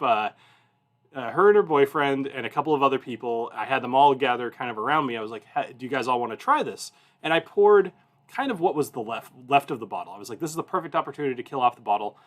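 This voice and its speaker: Casual, rambling man in his thirties. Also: mid-range voice. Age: 30s. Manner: informal, rambling, self-interrupting. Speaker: whiskey review show host. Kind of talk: casual chat and anecdotes to a livestream audience.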